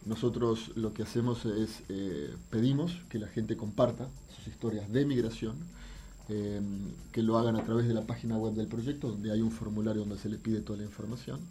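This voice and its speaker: Low-voiced Uruguayan man, halting and thoughtful. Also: fairly even intonation, dark tone. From Uruguay, raised abroad in France, Venezuela and Argentina. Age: middle-aged. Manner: halting, thoughtful, repeats words as he searches. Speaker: interview guest, visual artist. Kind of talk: explanatory interview answers. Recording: faint background noise, drifting off mic.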